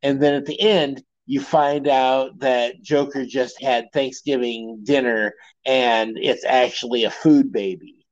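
A man's voice: medium pitch, steady tone, slow, dry recording, muffled recording. A man co-hosting a podcast in casual chat, rambling with many fillers and laughing often. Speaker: podcast co-host.

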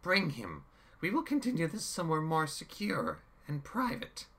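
Chaos, a man doing a theatrical high villain. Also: huge pitch swings, a bit bright, heavily acted. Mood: gloating. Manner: sneering, slow and theatrical, bursts into screams. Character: menacing male villain, mock-sweet and cruel.

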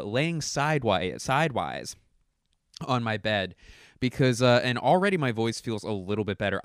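A low-pitched man, a stand-up comedian, talking off the cuff at home. His voice is hoarse and he keeps stopping to clear his throat, yet the tone under it stays smooth and the sound is close and dry. He rambles, corrects himself mid-sentence and swears casually. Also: fairly bright tone, no echo. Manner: rambling, self-correcting, profane. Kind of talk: casual talking-to-camera commentary.

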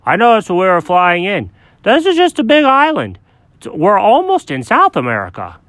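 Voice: medium pitch, huge pitch swings, normal speed, fairly bright tone, no room sound, voice pushed up. Storyteller's lightly raised confident dog voice, a breezy know-it-all, bouncy delivery, dry room. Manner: confident, matter-of-fact.

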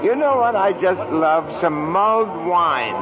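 A muffled vintage radio drama recording carries a gentle, very old guardian angel. He is innocent and courtly, speaking slowly with a pitch that swoops up and down. His earnestness is quaint and kindly. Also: lively intonation, long reverb tail, music in the background. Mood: cheery.

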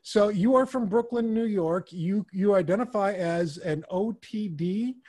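A man's voice: high voice, a little slow, darkish tone. A man hosting an interview in a playful way, often stammering and repeating words. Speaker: host. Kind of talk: casual interview chat.

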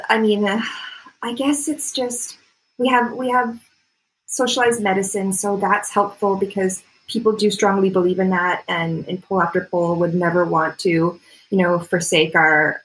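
A woman's voice: medium pitch, a bit bright, low-quality recording. A woman with a North American accent talking casually as an interview guest, in a rambling, self-deprecating way. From Canada-born, grew up in Florida, United States. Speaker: interview guest, artist and climate activist.

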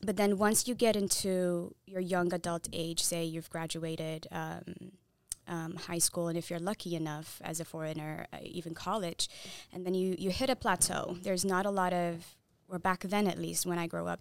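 Woman guest, clear and dry-voiced. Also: fairly low pitch, little pitch movement, normal speed, dry recording. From Saudi Arabia. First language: Tigrinya. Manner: articulate, earnest, laughs easily. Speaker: podcast guest, brand owner. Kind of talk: casual conversational interview.

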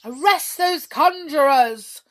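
Storybook fairy king, played by a woman: imperious, hot-tempered, forceful delivery with stern, deliberate scolding. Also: sits high, expressive, voice pushed up, heavily acted. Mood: furious. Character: hot-tempered, commanding, blustering fairy king, adult man. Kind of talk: children's storybook character.

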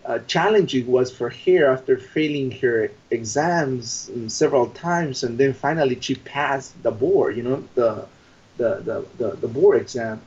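A man from Peru, telling his story as a podcast guest with halting phrasing and many fillers. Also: medium pitch, a little mellow, muffled recording. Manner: hesitant, many fillers and repeated words. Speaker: guest, business coach. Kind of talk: interview conversation.

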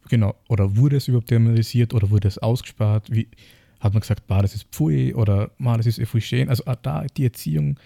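Male podcast co-host, Austrian accent, dark mellow low voice, reflective and casual. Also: creaky, no echo. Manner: reflective, speaks in piecemeal phrases with rhetorical questions. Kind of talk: casual explanatory podcast talk.

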